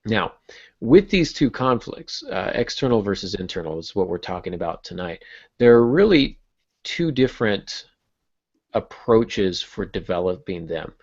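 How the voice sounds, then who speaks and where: A man's voice: sits low, creaky. A man hosting a conversational discussion about writing, explaining informally with wry asides. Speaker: podcast host. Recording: muffled lo-fi audio.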